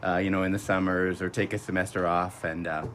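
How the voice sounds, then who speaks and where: A man's voice: deep voice, level tone, a little fast, fairly bright tone, a little hiss or hum. A man speaking as a landscaper guest in a radio interview, telling anecdotes casually.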